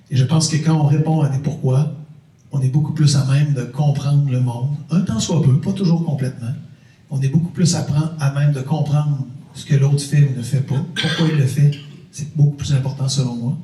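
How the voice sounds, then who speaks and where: A man's voice: mid-range voice, steady tone, moderate pace, dark tone. A middle-aged man with a Québécois French accent, giving an informal talk to a university audience, chatty and repeating phrases for emphasis. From Quebec. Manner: conversational, repeats phrases for emphasis, jokes with the crowd. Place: university lecture hall. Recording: echoey hall, mic at a distance.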